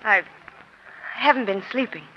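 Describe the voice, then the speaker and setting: A woman's voice: a little high, measured pace, sharp tone, a little hiss or hum. A woman playing a tense, guarded, jealous witness held in jail, in strained 1940s radio melodrama style. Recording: dull, lo-fi old radio recording.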